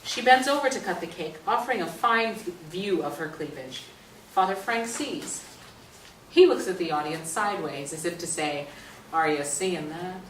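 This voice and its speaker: A woman's voice: on the low side, lively intonation, moderate pace, a bit bright. A woman reading stage directions as the narrator of a staged play reading. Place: indoor stage reading, mic at a distance. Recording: roomy distant stage recording, faint noise.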